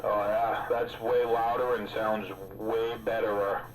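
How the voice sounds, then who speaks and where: A man's voice: on the low side, flat delivery, slow, noisy background. A man chatting casually as a regular on a radio hobby stream, talkative and joking in a folksy way. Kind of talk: casual chat.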